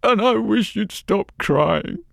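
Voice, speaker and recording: A man voicing a ragged old woman who weeps as she speaks cryptically, in a high, tearful, quavering voice. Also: animated, takes it slowly, vocal fry, no room sound, studio quality, full character voice.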